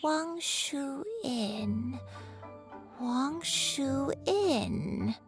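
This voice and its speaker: Slow, wistful old granny. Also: female voice, high voice, lively intonation, exaggerated voice. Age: elderly (figure). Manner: halting, wistful. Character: gentle, forgetful old granny lost in memories. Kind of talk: video game voice acting. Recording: music playing behind the voice.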